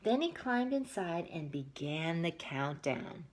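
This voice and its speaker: A woman's voice: sits low, background noise. A woman teacher reading a children's picture book aloud expressively, stepping out of the story to talk to young listeners. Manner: expressive, pausing to ask listeners questions.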